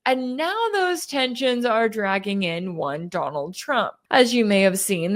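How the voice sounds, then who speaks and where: A woman hosting a news commentary show, speaking in a conversational, emphatic and skeptical way. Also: medium pitch. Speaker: independent news commentator and host. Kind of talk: opinionated news commentary to camera.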